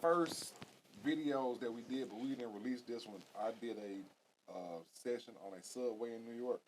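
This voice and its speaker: A man's voice: medium pitch, moderate pace, a little hiss or hum, rough recording. A man, a host and recording engineer, talking in eager, slangy banter. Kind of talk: casual chat.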